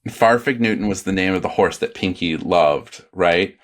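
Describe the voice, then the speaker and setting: A man's voice: low voice, moderate pace. A man chatting on a comedy podcast, rambling along with hesitant ums and restarts. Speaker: podcast panelist. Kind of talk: casual comedy podcast chat.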